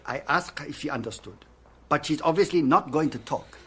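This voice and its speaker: A man's voice: on the high side, a little fast, breathy edge. A man playing a reserved interpreter at a police interrogation in a radio drama, speaking matter-of-factly.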